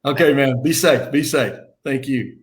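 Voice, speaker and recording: A man's voice: mid-range voice, unhurried. A man hosting a sports show in a casual, informal way, often repeating his phrases.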